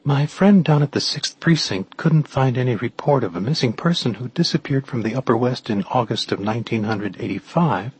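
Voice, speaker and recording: A man voicing a brisk, eager young woman researcher in an audiobook, chatty and assertive. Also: medium pitch, animated, husky, voice pushed up, low-quality recording. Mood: brisk, businesslike. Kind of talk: audiobook character dialogue.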